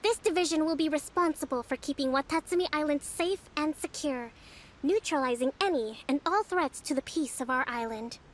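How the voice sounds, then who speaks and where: A woman voicing a calm young female leader of an island army, speaking in a composed, measured, authoritative way. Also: sits high, slight background noise, close to an everyday voice. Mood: commanding. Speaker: game character. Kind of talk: video game voice acting. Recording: game audio with faint music.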